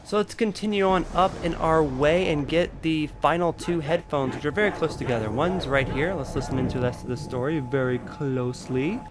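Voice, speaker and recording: A man's voice: a little high, brisk, few pauses, noisy background. A man giving a casual game walkthrough, explaining each step informally as the host. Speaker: gaming video host. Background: game music.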